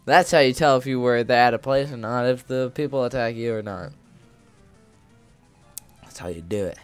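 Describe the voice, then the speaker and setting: A man's voice: mid-range voice, moderate pace, clear, resonant tone, no room sound. A man giving casual, rambling commentary over a video game, joking in a goofy way and often repeating himself. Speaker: gaming video creator. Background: video game music.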